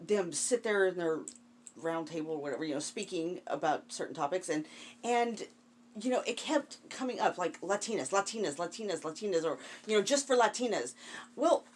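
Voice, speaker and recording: A woman's voice: on the low side, slight background noise. A woman in a casual, opinionated podcast discussion, questioning things and padding her points with conversational asides. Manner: frequent "you know" asides, questioning.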